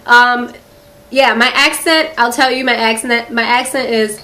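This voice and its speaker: A woman's voice: a little high, fairly even intonation, measured pace, sharp tone. A young woman with a Philadelphia accent, chatting casually to camera in a rambling way, with lots of "um" and "like". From Philadelphia, USA. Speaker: beauty vlogger. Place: quiet room in a house.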